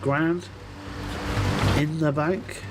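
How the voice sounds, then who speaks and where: Snotty man live-streaming over loud game music. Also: fairly high pitch, steady tone, extremely slow. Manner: sweary, self-deprecating. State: snotty, runny nose. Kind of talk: casual live game commentary. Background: loud game music and vehicle sounds.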